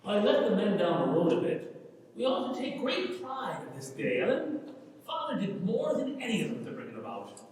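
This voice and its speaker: A man's voice: sits high, huge pitch swings, moderate pace, darkish tone. A man playing an earnest, idealistic young country man in a staged dramatic reading of a play. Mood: proud, hopeful. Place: large reverberant hall at a public event. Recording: very echoey hall, far from microphone.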